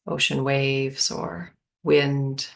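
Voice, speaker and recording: Slow, low-voiced woman guiding meditation. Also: creaky. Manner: slow, calm, spacious phrasing with long pauses. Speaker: meditation guide. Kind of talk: guided meditation.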